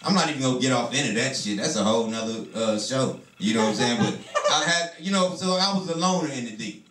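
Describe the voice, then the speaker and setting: A man's voice: mid-range voice, expressive, fast talker, a bit bright. Profane man doing stand-up. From Detroit, USA. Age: 30s. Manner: loose, profane, repeats "you know what I'm saying". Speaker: stand-up comedian. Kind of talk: stand-up storytelling. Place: small comedy club. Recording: roomy club sound from a distance, crowd noise.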